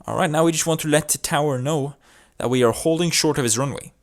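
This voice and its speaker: A man's voice: fairly high pitch, fast. A man presenting a tutorial, explaining procedures step by step in an instructional narration. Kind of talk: instructional video narration with demonstrated radio calls.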